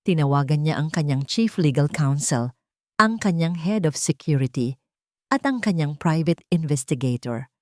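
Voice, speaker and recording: A woman narrating a romance drama in a measured, dramatic storytelling style. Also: sits low, expressive, darkish tone, no room sound, clean studio sound. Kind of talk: scripted audiobook narration.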